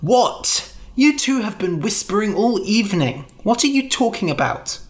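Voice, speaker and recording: An earnest young would-be hero speaks in a lifted, lively voice. He gets indignant quickly, played by a storyteller. This is storybook narration. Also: mid-range voice, voice pushed up. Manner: eager and indignant.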